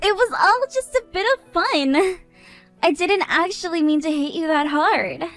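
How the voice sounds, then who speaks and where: A woman's voice: extremely high pitch, lively intonation, measured pace, fairly bright tone, soft background music, voice pushed up, heavily acted. A woman voicing a drunk, mocking young woman who teases in a sing-song voice and keeps breaking into cackling laughter.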